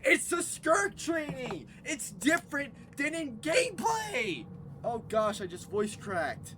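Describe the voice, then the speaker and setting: A gamer giving let's play commentary, excitable, ranting at the game and making laser sound effects. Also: high-pitched, takes it slowly, background noise. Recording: voice over loud game music. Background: game music.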